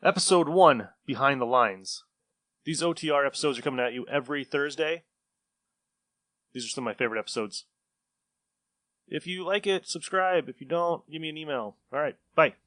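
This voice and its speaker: A man's voice: a little high, moderate pace, long pauses, fairly bright tone, no room sound. A man hosting a podcast and reading out a scripted introduction. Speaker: podcast host.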